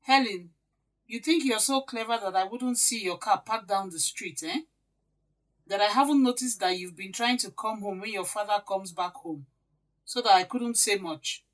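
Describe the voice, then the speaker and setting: A woman's voice: mid-range voice, natural voice, low-quality recording. A woman with a Nigerian accent playing a domineering middle-aged stepmother, sarcastic and nagging in an audio drama.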